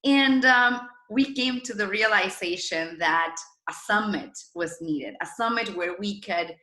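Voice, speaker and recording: Bright, echoey woman, enthusiastic. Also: mid-range voice, measured pace. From Argentina. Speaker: interview guest, startup founder and former journalist. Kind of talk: conversational interview storytelling. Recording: roomy, echoing sound.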